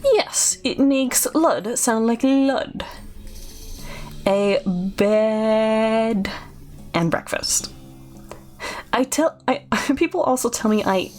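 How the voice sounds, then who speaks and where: A woman voicing a creepy, hunched male innkeeper in a video game, with sinister politeness, a lisp and oddly stressed words. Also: on the high side. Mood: creepy, welcoming. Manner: lisping, oddly emphasized words. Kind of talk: video game character read-aloud.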